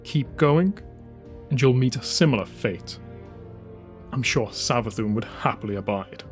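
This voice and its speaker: A man voicing a broken old male commander, bitter and resigned, with a flat clinical manner, in a dramatised audiobook. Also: medium pitch, unhurried, faint music behind, lightly acted.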